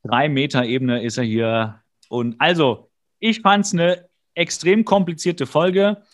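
A man with a voice a little higher than most co-hosts a podcast. He recaps in a loose, conversational style, rambling along with wry, joking asides. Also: slow. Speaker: podcast co-host. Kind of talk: casual episode recap chat.

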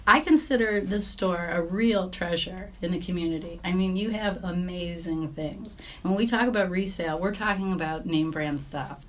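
A woman hosting a podcast, slightly hoarse from laryngitis, reading an upbeat intro and then asking her guest questions. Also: fairly low pitch, slight background noise. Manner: upbeat, enthusiastic. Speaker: podcast host.

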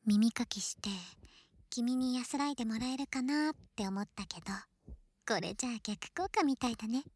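A woman playing an affectionate, teasing young girl best friend in an ASMR roleplay, playful and breathy, often slipping into whispers close to the microphone. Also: on the high side, normal speed, darkish tone, no room sound, natural voice. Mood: tender teasing. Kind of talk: Japanese ASMR situation voice drama, close intimate roleplay. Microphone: close ear-to-ear ASMR microphone.

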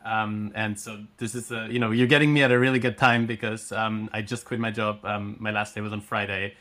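A man in his thirties from Austria, a native German speaker, talking as a podcast guest with lots of fillers and quick restarts. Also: fairly low pitch. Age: 30s. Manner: excited, many fillers and restarts. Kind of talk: casual podcast interview.

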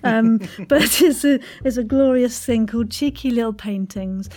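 Podcast host: British woman, higher-pitched voice, chatty, playful and exuberant interviewing style. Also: measured pace, a little mellow. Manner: effusive, playfully exuberant, slangy.

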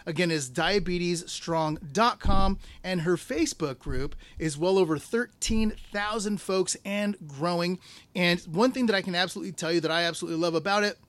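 A man with a notably high voice hosts a casual health podcast. He talks in an upbeat, salesy way, leaning on "like" and "you know" as he goes. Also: moderate pace, fairly bright tone. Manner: upbeat, filler-heavy, salesy. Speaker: podcast host. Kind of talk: casual interview and promo.

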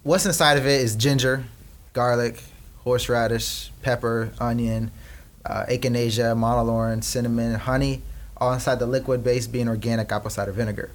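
A man's voice: mid-range voice, steady tone. Chatty young man telling family stories. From Peru. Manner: chatty storyteller, quick bursts with frequent fillers. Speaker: guest, company co-founder. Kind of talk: casual interview chat.

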